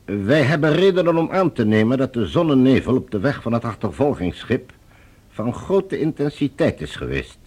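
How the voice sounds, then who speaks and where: A cold ruler of Mars in a science fiction radio play. His voice is husky and widely inflected, middle in pitch. He speaks formally and imperiously, with suspicion underneath. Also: very animated, normal speed, darkish tone. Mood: formal, commanding. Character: commanding, cold, suspicious male leader of Mars.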